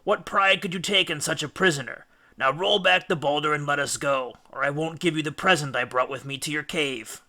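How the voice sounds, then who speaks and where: A man's voice: a little high, fairly quick, few pauses, bright, forceful tone. A man playing a cunning, commanding Greek hero and captain in an audiobook, with firm, declamatory delivery. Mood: proud, wily. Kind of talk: audiobook character acting.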